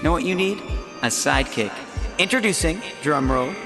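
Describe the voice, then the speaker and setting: A man's voice: on the high side, lively intonation, moderate pace. A man playing a bossy, gleeful dating show host in a video game, with a campy, dramatic announcer delivery. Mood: gleeful, teasing. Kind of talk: scripted game dialogue and show narration. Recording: echoey hall reverb with loud music.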